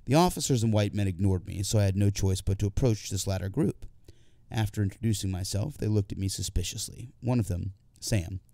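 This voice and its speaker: A man narrates a literary audiobook in the first person. His voice sits on the low side and is soft-edged, dark and relaxed. The sound is very dry, with no room around it. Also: dry recording.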